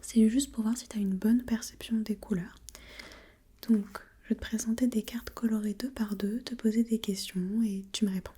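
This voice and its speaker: A woman whispering breathily in an ASMR role-play as an examiner, gently asking step-by-step questions and giving short encouragements. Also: mid-range voice, steady tone, moderate pace, breathy edge.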